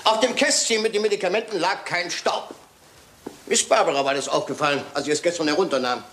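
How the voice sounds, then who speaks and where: An actor playing a shrewd, self-assured detective in a German radio crime drama, with a sly, theatrical manner. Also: male voice, on the high side, fairly quick, fairly bright tone, slight background noise, natural voice. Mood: probing, accusing. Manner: theatrical, sly, teasing, with occasional French phrases.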